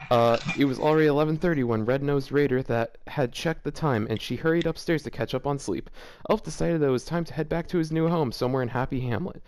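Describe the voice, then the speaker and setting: A man's voice: mid-range voice, quick pace, few pauses, a little mellow, clean tone. A man hosting a show, reading fanfiction aloud casually and breaking off for amused, mocking asides. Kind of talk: casual reading with joking commentary.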